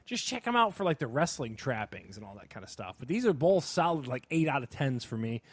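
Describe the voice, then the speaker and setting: A man's voice: mid-range voice, very animated, a little fast, slightly rough, no echo. A man co-hosting a casual sports and entertainment podcast, talking in an enthusiastic, emphatic, rambling way. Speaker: podcast co-host.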